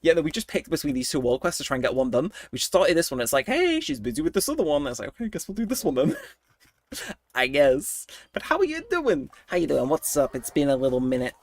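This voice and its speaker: A man's voice: on the high side, big pitch swings, a little fast, no room sound, clean studio sound. A young adult man chatting casually with viewers while streaming a game, rambling and poking fun at himself. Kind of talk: casual livestream chat while gaming.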